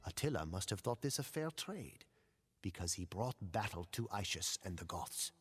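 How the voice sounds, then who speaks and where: A solemn old-world priest telling war tales for a game, grave and theatrical, music behind him. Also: male voice, sits low, sing-song, normal speed, fairly bright tone. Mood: grave, portentous. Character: solemn priest storyteller recounting Attila's wars. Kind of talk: dramatic scripted narration.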